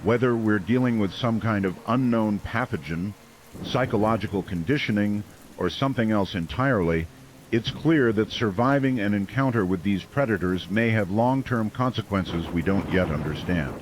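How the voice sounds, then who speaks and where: An actor playing a small-town late-night radio host, measured, serious and a little skeptical. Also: male voice, on the low side, animated, a little hiss or hum, natural voice, rough recording. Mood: grave. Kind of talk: radio drama call-in hosting.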